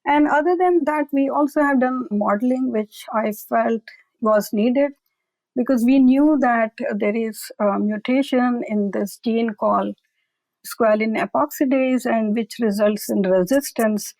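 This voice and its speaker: A woman's voice: fairly high pitch, a little slow, darkish tone, dry recording, poor audio. A woman researcher being interviewed, explaining carefully and often adding "I will say". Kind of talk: conversational expert interview.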